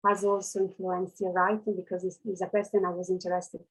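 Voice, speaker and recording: A woman's voice: fairly low pitch, little pitch movement, brisk, breathy edge, poor audio. A woman taking part in a writing workshop on a video call, who grew up outside Canada and speaks hesitantly with many fillers. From not Canada. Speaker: workshop participant. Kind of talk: casual group talk on a video call.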